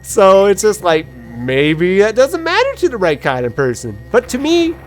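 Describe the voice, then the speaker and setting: A gaming video host giving casual gameplay commentary, telling personal stories in a rambling, halting way with quoted asides. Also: mid-range voice, wildly swooping pitch. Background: game music.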